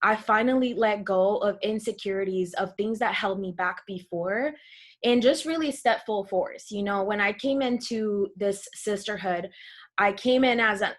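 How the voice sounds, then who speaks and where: A woman's voice: medium pitch, normal speed, fairly bright tone. A woman from Costa Rica, a health coach, sharing a heartfelt personal testimonial on a video call. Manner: earnest, heartfelt, grateful.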